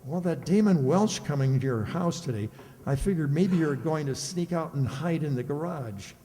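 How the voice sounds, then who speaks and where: A man's voice: fairly high pitch, animated, moderate pace, warm tone, slight background noise, lightly acted. An amateur actor playing a boastful, girl-crazy, teasing bachelor pal in an old-time radio comedy. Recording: echoey hall with long reverb.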